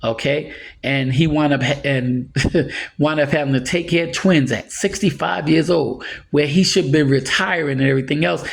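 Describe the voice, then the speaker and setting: A man's voice: on the high side, moderate pace, creaky, slight background noise. A man in his sixties giving earnest, rambling life advice in a casual monologue, chuckling now and then. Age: 60s. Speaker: motivational speaker.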